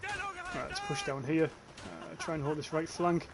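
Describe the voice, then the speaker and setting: A man's voice: a little high, huge pitch swings, unhurried, background noise. Man, northern English accent, young adult, casual gaming commentary, swears offhand, game sounds behind. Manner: chatty, swears casually, reads out chat comments. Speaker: streamer.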